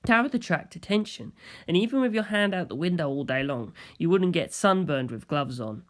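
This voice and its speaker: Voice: medium pitch, animated, moderate pace. A narrator reading a scripted story in the first person.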